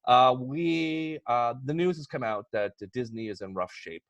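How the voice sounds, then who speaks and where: A man's voice: medium pitch, lively intonation, measured pace, dry recording, lo-fi sound. A man hosting a podcast in casual chat, with a jokey, rambling manner and frequent asides. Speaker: podcast host. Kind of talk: casual chat, introducing topics and pitches.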